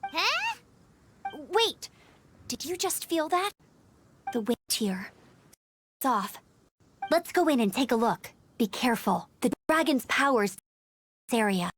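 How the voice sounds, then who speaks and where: A woman voicing an energetic, confident and earnest young female knight scout in a video game. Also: high-pitched, slow, pauses often, slight background noise, full character voice. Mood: alert. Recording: loud game music underneath. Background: game music.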